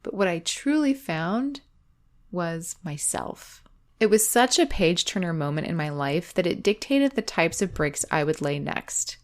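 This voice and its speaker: Woman, podcast host, lower voice, reflective scripted monologue, measured phrasing, deliberate pauses. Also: lively intonation. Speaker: podcast host, audio drama creator.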